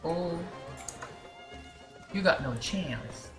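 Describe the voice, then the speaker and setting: Male gamer for casual play commentary, unhurried, muttering choices in fragments over clear game music. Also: on the high side, expressive. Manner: thinking aloud in short fragments. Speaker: gaming streamer.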